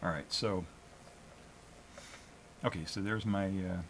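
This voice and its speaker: A low-pitched American man talks off the cuff to a livestream. He is hesitant and halting, feeling his way through each thought. The audio has clear background noise. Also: fairly even intonation. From New England, US. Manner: hesitant, halting, self-critical. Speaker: amateur live streamer. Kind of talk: casual unscripted solo livestream chat.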